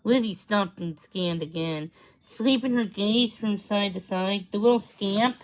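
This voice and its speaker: A woman's voice: medium pitch, a little slow, low-quality recording. A woman narrating a children's story as a read-aloud. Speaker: storybook reader.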